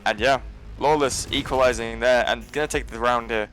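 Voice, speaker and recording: A man from England calling live esports play-by-play in quick, steady runs, relaxed and chatty between rounds. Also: mid-range voice, poor audio. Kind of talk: live game commentary.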